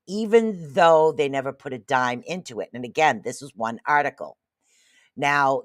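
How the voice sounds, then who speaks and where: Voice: mid-range voice, a bit bright, dry recording, studio quality. A host in their late fifties giving casual commentary, reading viewer comments aloud and rambling with repeated phrases. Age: 50s. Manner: rambling, repeats phrases, frequent "literally" and "okay?". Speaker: livestream host.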